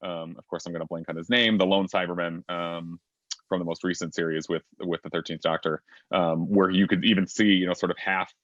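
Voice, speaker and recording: A man moderating an online fan convention panel over a video call, agreeable and full of fillers and quick yeps. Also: low voice, level tone, fairly bright tone, dry recording. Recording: slightly dull, dry online call audio.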